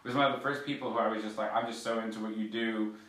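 A man's voice: lowish voice, steady tone, fast, fairly bright tone. A man in his thirties, a band musician and booker, chatting casually in an interview with frequent fillers and restarts. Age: 30s. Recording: roomy echo, far from mic.

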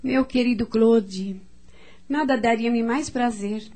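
A woman acting as a stern middle-aged aunt in a radio drama. Her voice is fairly high, and she talks in a formal, reproachful way. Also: slow, warm tone. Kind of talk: radio soap opera acting.

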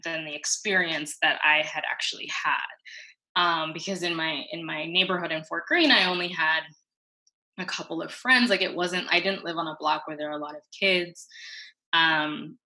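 A woman, talking as an interview guest. Her voice sits fairly low, with a gravelly fry and a bright, forward edge. She talks it through reflectively, pausing and restarting as she goes. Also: rough recording. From New York City. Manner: thoughtful, halting, with many fillers and restarts. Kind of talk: casual reflective conversation.

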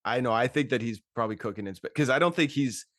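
A man co-hosting a casual football podcast, talking fast and animated and laughing often. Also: medium pitch, dry recording, clean studio sound. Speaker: podcast co-host. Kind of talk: casual sports chat.

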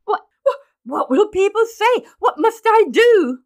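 A horrified, frantic gentleman and new father from a storybook , voiced by a woman. He splutters and repeats himself, close to hysteria. The pitch leaps high and swings widely. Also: very animated, a little slow, full character voice. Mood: horrified despair.

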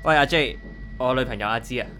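A man's voice: medium pitch, fast talker, bright, forceful tone, faint music behind, close to an everyday voice. A man acting an impulsive, romantic young musician boyfriend in a radio drama, with a Hong Kong Cantonese accent. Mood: earnest, devoted.

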